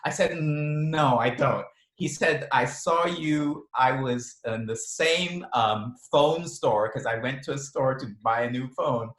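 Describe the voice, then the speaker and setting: A man's voice: mid-range voice, slow pace, a bit bright. Echoey video call, chatty man with mixed accent. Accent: mixed Ghanaian, British and Californian. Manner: chatty, laughs often, many restarts and ums. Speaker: author guest. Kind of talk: relaxed storytelling in a video interview.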